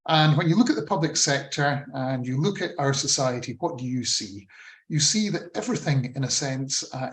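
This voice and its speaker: A man with a Scottish accent presenting slides on a video call, measured and with frequent ums and uhs. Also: medium pitch, reverberant. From Scotland. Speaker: presenter on a funding model. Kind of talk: talk with screen-shared slides.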